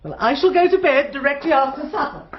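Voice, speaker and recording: A woman's voice: sits high, animated, quick pace. An actress playing an imperious, flustered older English lady of the house with a Received Pronunciation accent in a radio drama. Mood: flustered, snappish. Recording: dull, noisy radio drama recording.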